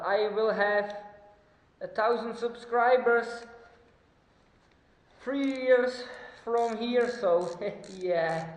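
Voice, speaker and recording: A man from the Czech Republic, whose first language is Czech, talking casually through a motorcycle engine teardown, halting often with fillers and small chuckles. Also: high-pitched, echoey room, in a large hall, low-quality recording. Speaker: motorcycle mechanic vlogger. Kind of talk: casual workshop commentary.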